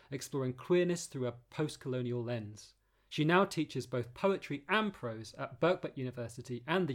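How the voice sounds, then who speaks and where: A man hosts a literary interview podcast. His voice is a little husky and breathy, and his questions come out soft and tentative, with small restarts. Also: mid-range voice. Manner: soft-spoken, hesitant follow-up questions. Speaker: podcast host.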